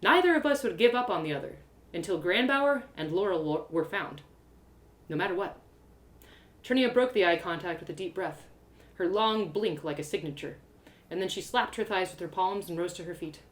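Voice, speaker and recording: A woman narrating a fantasy story in the first person as an expressive live reading. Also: on the low side, moderate pace, reverberant.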